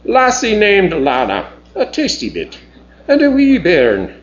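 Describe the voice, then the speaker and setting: A woman's voice: mid-range voice, wildly swooping pitch, unhurried, dull audio, pitched above the performer's own voice. A male narrator voicing a cheeky female starship engineer who speaks with a broad Scottish accent.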